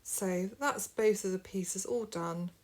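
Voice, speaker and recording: A woman's voice: medium pitch, takes it slowly, slight background noise. Chatty woman, sewing tutorial. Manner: friendly, rambling, explains step by step. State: sniffly from hay fever. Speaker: sewing vlogger. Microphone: camera mic.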